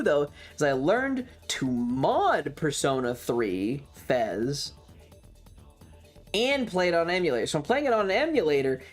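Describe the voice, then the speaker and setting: A streamer with a mid-range voice talks in a loose, chatty livestream style. The delivery is opinionated and rambling, circling back to repeat points and swearing casually. Music plays clearly in the background. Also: mid-range voice. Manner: casually profane. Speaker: variety streamer.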